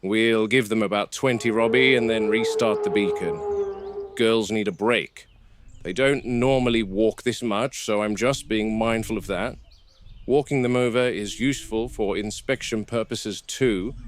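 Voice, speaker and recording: A serious, seasoned game warden played by a man speaks in a fairly low voice over a slightly noisy VHS-style field tape. He narrates his log for a found-footage film, methodical and matter-of-fact, explaining the animal work step by step. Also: lightly acted. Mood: calm, instructing.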